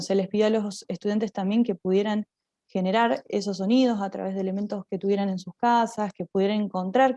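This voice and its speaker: Mellow-voiced Argentine woman presenting. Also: mid-range voice, no room sound. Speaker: drama teacher presenting at a conference. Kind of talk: spoken conference presentation.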